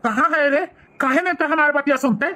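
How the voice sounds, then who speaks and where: A man's voice: very high voice, a little fast, bright, forceful tone, slight background noise. A man voicing a bullying, harsh village relative in a comedy cartoon, scolding and sneering with a Bhojpuri accent. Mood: angry scolding.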